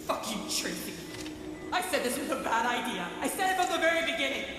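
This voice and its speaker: Casting: distraught, distrustful game character, fairly high emotional voice, heavy hall echo. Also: female voice, very animated, a little fast, over loud music. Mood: angry, distrustful. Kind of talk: dramatic video game acting.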